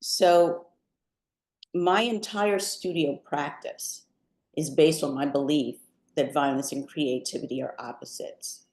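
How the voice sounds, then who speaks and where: A woman, a guest poet, giving prepared remarks before a reading in a deliberate, articulate way. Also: sits low, a little mellow. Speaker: poet and visual artist, guest reader. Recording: roomy, echoing sound.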